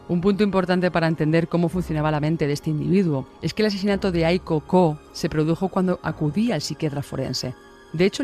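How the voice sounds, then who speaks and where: A man's voice: on the high side, a little mellow. A man reading scripted true-crime narration.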